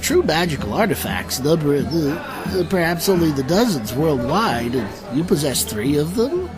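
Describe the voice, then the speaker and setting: A man's voice: a little high, very animated, unhurried, rough recording. Guy as nerdy pedantic scholar, stammers and hesitates, fantasy audio drama, music behind him. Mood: astonished, hesitant. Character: bookish, fussy male scholar of church relics.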